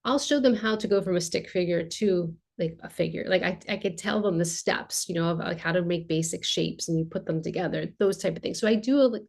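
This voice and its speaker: A woman's voice: on the low side, brisk, vocal fry, hardly any pauses. A woman in her thirties, an art therapist, explaining her work in a casual video-call interview with lots of fillers. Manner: animated, explains with hand gestures, many fillers. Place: home art studio with shelves. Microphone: video call webcam.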